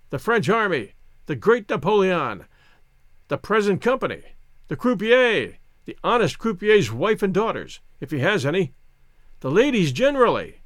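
Man acting a tipsy Englishman, high and excitable. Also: no room sound, clean studio sound, heavily acted. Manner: hearty, declamatory toasting. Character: exhilarated tipsy English gentleman gambler, hearty, reckless.

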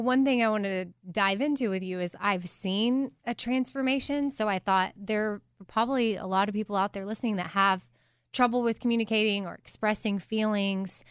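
Clear-voiced woman hosting a casual podcast. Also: mid-range voice, fairly bright tone, dry recording, clean studio sound. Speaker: podcast host interviewing a guest. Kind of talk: casual conversational interview.

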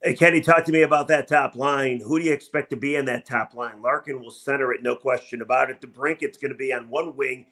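A man's voice: mid-range voice, steady tone, a little fast, hardly any pauses, a bit bright. A man hosting a sports podcast, talking and asking questions in an enthusiastic, emphatic way. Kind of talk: upbeat sports talk and interviewing.

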